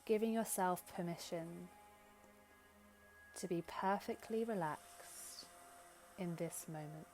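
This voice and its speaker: A woman leading a guided meditation in gentle, soothing, unhurried short phrases with long pauses. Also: fairly low pitch. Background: background music.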